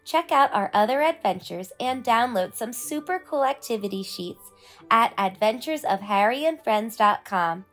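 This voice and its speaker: Female storyteller for kids' read-aloud, high voice, scripted picture-book narration over soft music. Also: expressive, moderate pace. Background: faint music.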